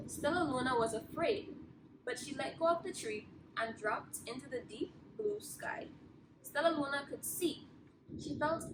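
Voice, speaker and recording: A woman reads a children's storybook aloud with a high, very lively voice. She takes it slowly, and the recording is distant with noticeable noise. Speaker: children's story reader, bat team member. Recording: distant mic with clear background noise.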